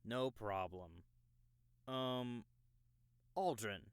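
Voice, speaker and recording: A man's voice: mid-range voice, clean tone, no room sound. A man playing a helpful, generous veteran gamer who casually guides a newcomer. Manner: casual, unhurried instructing. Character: helpful, generous male veteran game player and mentor.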